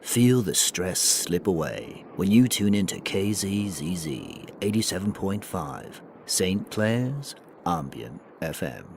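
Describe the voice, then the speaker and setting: A man's voice: sits low, very animated, takes it slowly, darkish tone, heavily acted. A man playing a languid, soothing, trippy late-night DJ on an ambient FM radio station. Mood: dreamy, soothing. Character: mellow late-night ambient radio DJ, dreamy surfer philosopher. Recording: clearly noisy background ambience.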